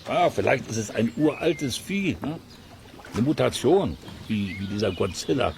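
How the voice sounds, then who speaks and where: Gravelly, animated, chatty monster hunter. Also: male voice, mid-range voice, normal speed. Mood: curious, eager. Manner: musing aloud, hesitant colloquial asides. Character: curious, eager, chatty male amateur monster hunter and angler. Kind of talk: children's radio play acting. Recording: noisy cassette transfer.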